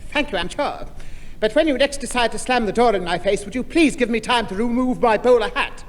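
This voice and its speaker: A man playing a prissy, pompous, easily flustered gentleman in a 1960 radio sitcom. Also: extremely high pitch, a little fast. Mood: prim, arch. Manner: pompous, formal, stammering when confused. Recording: noisy echoing 1960 radio recording.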